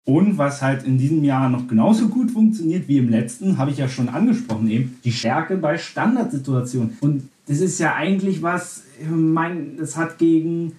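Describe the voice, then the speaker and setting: A man's voice: fairly high pitch, lively intonation, normal speed, hardly any pauses, darkish tone, reverberant. A man born in Berlin, hosting a casual football podcast, talking in a chatty way with frequent restarts and fillers. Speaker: podcast host.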